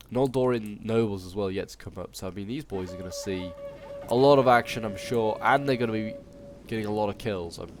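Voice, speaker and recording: A man's voice: fairly low pitch, background noise. A man with a cold, sounding snuffly, casually commentating a battle replay with wry asides and frequent ums. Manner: chatty and wry, with frequent ums and self-corrections. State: stuffy with a cold. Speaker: game channel host commenting a battle replay.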